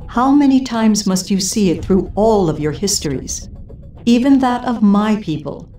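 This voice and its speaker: An actress playing a coldly logical alien cyborg villain in a video game, speaking in formal, measured, imperious lines. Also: female voice, medium pitch, expressive, dark tone, far from the mic, faint music behind, close to an everyday voice. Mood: coldly insistent. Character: cold, coolly logical female Vulcan villain turned Borg leader.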